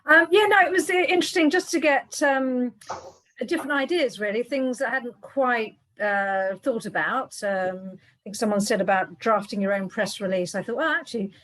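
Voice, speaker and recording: A woman's voice: fairly high pitch, moderate pace, a bit bright, rough recording. A woman attending an online meeting who shares her thoughts in chatty talk, often correcting herself and saying "you know". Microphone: video call.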